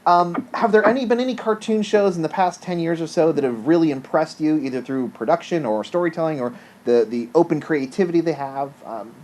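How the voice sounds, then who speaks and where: A man asking casual interview questions in halting phrases with repeated words. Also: fairly high pitch, darkish tone.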